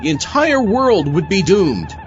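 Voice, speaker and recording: A man's voice: sits high, huge pitch swings, a little slow, pitched above the performer's own voice, exaggerated voice. A man playing an anxious, doubting adventurer in a comic audio drama, with a worried, insistent manner. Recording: dull, band-limited sound. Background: music.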